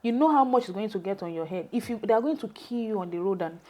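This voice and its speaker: A woman's voice: medium pitch, lively intonation, fast talker, warm tone. A Nigerian woman whose first language is Yoruba, telling her own story in a loose, conversational way with many fillers and restarts.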